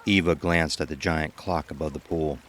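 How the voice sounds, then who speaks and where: A man's voice: a bass voice, steady tone, normal speed, fairly bright tone. A man narrating a scripted horror story as an ominous storyteller.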